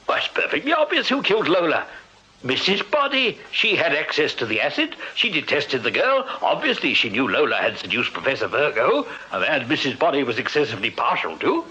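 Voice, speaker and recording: Victorian army major, upper-class English, higher-pitched, husky, hearty, exclamatory, radio drama. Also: male voice, wildly swooping pitch, a little fast, pushed and bright, full character voice. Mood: emphatic, confident. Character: retired army major, eager, blustering upper-class Victorian amateur sleuth. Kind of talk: radio period drama acting. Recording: slightly dull radio drama recording.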